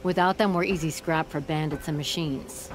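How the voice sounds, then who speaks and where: Woman playing a low-voiced, tough forge leader. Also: steady tone, moderate pace, a little hiss or hum, natural voice. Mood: firm, earnest. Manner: firm, blunt, folksy. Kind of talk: video game voice acting. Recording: game music behind the voice.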